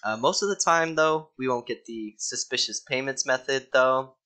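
Young man, bright voice, casual um-filled walkthrough, mildly exasperated, desk mic. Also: mid-range voice, moderate pace. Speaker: ads account consultant. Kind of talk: screen-recorded walkthrough.

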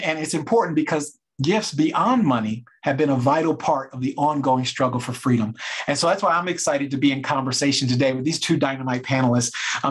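A man's voice: a little high, slightly rough. A middle-aged man moderating a panel discussion over a video call, speaking earnestly with some fillers. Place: home study with bookshelves. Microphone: video call webcam.

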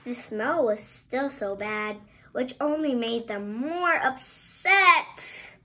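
A young girl reading a story aloud with dramatic, drawn-out emphasis, sometimes stumbling over words. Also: a little high, animated, bright, forceful tone. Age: child, around 8 to 10. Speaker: child presenter reading a picture book aloud. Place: bedroom, indoors.

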